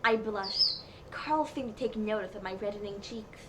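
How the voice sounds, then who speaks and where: A woman's voice: mid-range voice, normal speed, sharp tone. A woman narrating a comedic dramatic reading of fan fiction in a mock-serious storybook manner. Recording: clearly noisy home recording.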